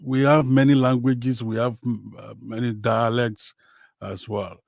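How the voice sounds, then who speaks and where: A Nigerian man whose first language is Yoruba, hosting a casual football livestream from home, talking slowly with many fillers. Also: medium pitch, level tone, creaky, darkish tone, dry recording, studio quality. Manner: slow, hesitant, many fillers. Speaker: livestream host. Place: home, in Western Australia.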